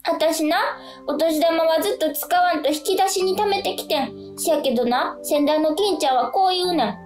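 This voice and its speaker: An actress playing an earnest, caring young daughter who is wise with money, with a Kansai accent. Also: female voice, very high-pitched, normal speed, a little mellow. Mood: earnest, pleading. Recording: echoing, with clear music behind.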